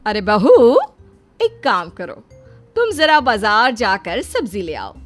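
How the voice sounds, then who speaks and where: A woman acting as an older, film-mad mother-in-law in a family storybook tale. She is stubborn and theatrical, speaking high and grandly over soft music. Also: big pitch swings, slow. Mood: sweetly insistent. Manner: grandly delivers filmi dialogue. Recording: music under the voice.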